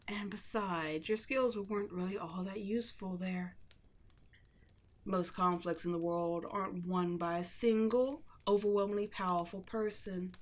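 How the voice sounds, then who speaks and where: A woman playing a seductive, condescending demon queen in a scripted ASMR roleplay, gloating at the listener and dropping at times into breathy whispers. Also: medium pitch, close to an everyday voice. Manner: slow, taunting, condescending, drops into breathy whispers.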